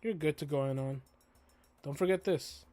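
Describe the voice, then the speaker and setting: A male dinosaur school principal from a visual novel read by a streamer, stern and formal yet supportive. He speaks with authority at a middling pitch, over music. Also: moderate pace, dark tone, natural voice. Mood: businesslike, supportive.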